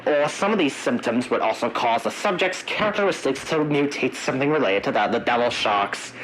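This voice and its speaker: A man narrating an analog horror emergency briefing in a halting, wordy way, searching for his words. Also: medium pitch, expressive, a bit bright, a little hiss or hum, rough recording.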